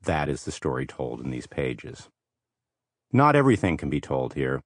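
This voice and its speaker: Very deep-voiced male audiobook narrator. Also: sing-song, normal speed, no echo, studio quality. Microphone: close studio microphone.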